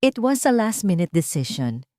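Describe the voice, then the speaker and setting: A woman's voice: mid-range voice, very animated, moderate pace, dark tone, dry recording, clean studio sound, close to an everyday voice. A woman voicing a cold, controlling male billionaire in a curt, commanding manner for an audio romance story. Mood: curt, firm.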